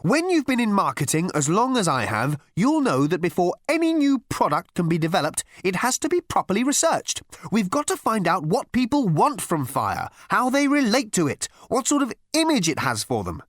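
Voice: mid-range voice, wildly swooping pitch, normal speed, few pauses, dry recording, studio quality, pitched above the performer's own voice. A man voicing a bossy female marketer with a strident, insistent delivery in an audiobook. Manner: firm, insistent.

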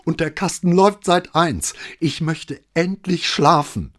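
A man voicing a furious, near-hysterical woman caller whose voice grows shrill with rage. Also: very animated, normal speed, a little mellow.

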